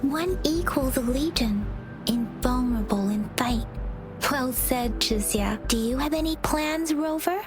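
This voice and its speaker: A woman playing a gentle, studious young scholar in a video game, polite and measured as she explains. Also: sits high, music in the background. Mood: thoughtful. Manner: measured, soft-spoken, explanatory. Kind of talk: English video game voice acting.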